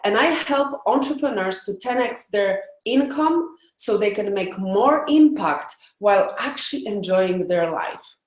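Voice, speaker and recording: A woman's voice: mid-range voice, expressive, a little slow, few pauses, far from the mic. A woman from Poland with a Polish accent, a workshop participant speaking earnestly and hesitantly, searching for words. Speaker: workshop participant, entrepreneur coach. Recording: very echoey room.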